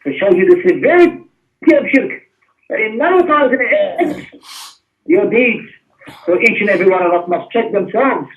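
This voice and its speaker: A man's voice: high voice, animated, moderate pace. A man teaching an Islamic lesson in an emphatic, exhortatory manner, mixing explanation with recitation, on a muffled recording. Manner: emphatic, exhortatory, with rhetorical questions. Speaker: religious teacher. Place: mosque class.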